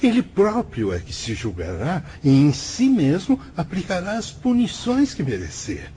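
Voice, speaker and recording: A serene priest of the spirit world in a radio drama, instructing with fatherly patience. His Brazilian speech is solemn and measured, with lively rises and falls over faint noise. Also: a little high. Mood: gentle, earnest teaching.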